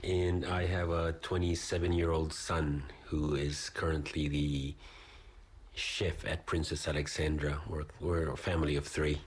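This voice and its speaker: Deep husky-voiced man from the Philippines. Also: little pitch movement, unhurried. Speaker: interviewee, residential manager. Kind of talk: interview answers.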